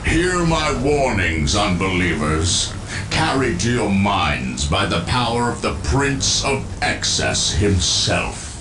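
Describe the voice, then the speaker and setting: An actor playing a zealous, menacing Chaos Space Marine lord in a video game, preaching in a grandiose, theatrical manner. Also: male voice, lowish voice, very animated, exaggerated voice. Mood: grandiose menace. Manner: grandiose, preaching, slow and deliberate. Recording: echoing voice over music.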